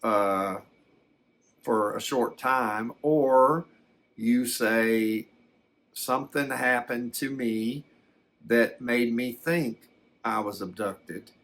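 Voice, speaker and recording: An older man telling a personal story in a casual, unscripted way, halting and repeating words as he reflects. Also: medium pitch, fairly bright tone. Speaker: storyteller recounting a personal experience.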